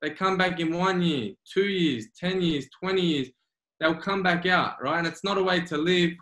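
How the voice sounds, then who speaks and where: Emphatic high-voiced Australian man, roomy sound. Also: normal speed, a bit bright. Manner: earnest, emphatic, repeats key phrases. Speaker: guest mindset coach. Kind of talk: remote interview. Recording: roomy echo.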